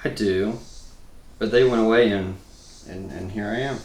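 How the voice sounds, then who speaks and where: A man's voice: lowish voice, fairly even intonation, moderate pace, close to an everyday voice. Pensive, echoey teenage boy read by a man. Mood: pensive, subdued. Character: pensive teenage boy from New York, quietly resigned. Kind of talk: table read of a film script. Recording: roomy echo with faint noise.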